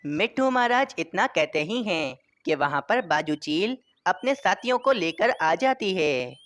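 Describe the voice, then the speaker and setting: A woman's voice: on the low side, lively intonation, unhurried, fairly bright tone. A woman reading scripted narration for a children's story.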